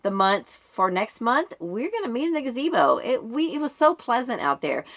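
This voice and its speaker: A woman's voice: fairly high pitch, big pitch swings, moderate pace, a bit bright. A middle-aged American woman giving a casual, conversational reading update to viewers in an informal, rambling way. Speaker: book vlogger and book club member.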